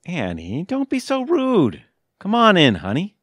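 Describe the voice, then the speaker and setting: A man's voice: high voice, huge pitch swings, unhurried, fairly bright tone, no room sound, exaggerated voice. A man playing a genial, easily distracted older husband in an audio theatre comedy. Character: genial, distractible older husband, sports fan and wine buff.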